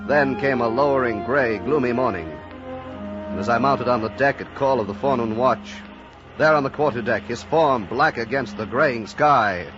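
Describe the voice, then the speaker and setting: A man playing a young seaman telling his story in an old radio drama. His mid-pitched voice is earnest and measured yet widely inflected, muffled by the vintage recording and set against music. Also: close to an everyday voice. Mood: measured, dramatic. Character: earnest young sailor recounting a whaling voyage. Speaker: narrator.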